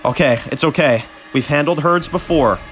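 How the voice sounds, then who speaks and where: A man voicing a steady woman on guard duty. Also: a little high, moderate pace, soft background music, pitched above the performer's own voice, close to an everyday voice, low-quality recording. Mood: worried. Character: steady woman keeping watch on a guard tower.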